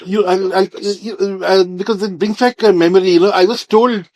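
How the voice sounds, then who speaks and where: A man's voice: high voice, fairly even intonation, moderate pace, a little mellow, no room sound. A man with an Indian English accent hosting an interview show, rambling through his introductions with frequent uhs and restarts. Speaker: host.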